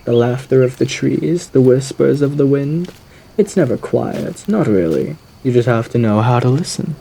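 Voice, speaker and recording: Suave gentleman radio demon, swooping intonation, mellow dark tone, theatrical, flirtatious, faintly menacing, audio roleplay. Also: mid-range voice, animated, moderate pace, vocal fry, slight background noise. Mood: wistful, poetic. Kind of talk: theatrical ASMR character roleplay monologue.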